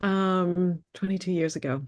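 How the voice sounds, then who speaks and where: A woman's voice: on the low side, steady tone, a little slow, a little mellow. A woman with an upstate New York accent, a wellness coach speaking as an interview guest in an earnest manner. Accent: American, upstate New York. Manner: earnest, laughs easily. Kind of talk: conversational interview.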